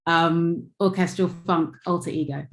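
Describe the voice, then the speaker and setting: A woman's voice: fairly low pitch, slow pace, a little mellow, reverberant. A woman musician on a call, chatting about her music with many fillers and little laughs. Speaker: musician guest. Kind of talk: casual chat on a call. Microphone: video call.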